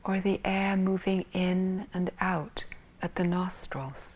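A woman teaching meditation in a soft, breathy, husky voice, calmly and gently guiding with long pauses between phrases. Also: on the low side. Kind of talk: guided meditation.

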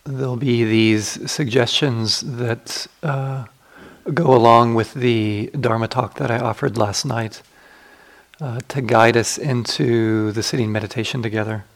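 A man leading a guided meditation as a teacher, giving gentle, unhurried instructions with long pauses. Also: mid-range voice, fairly even intonation.

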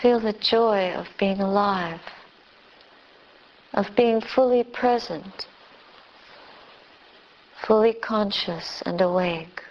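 Very slow-speaking woman guiding meditation. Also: mid-range voice, highs cut off. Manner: gentle, unhurried, long pauses between short phrases. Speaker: Buddhist nun, meditation teacher. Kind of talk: guided meditation.

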